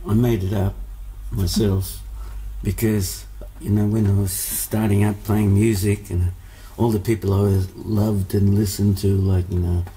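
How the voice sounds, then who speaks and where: A man's voice: low voice, dark tone, low-quality recording. A man, born in Hungary, telling stories as a musician guest in a casual interview, rambling with many fillers and restarts.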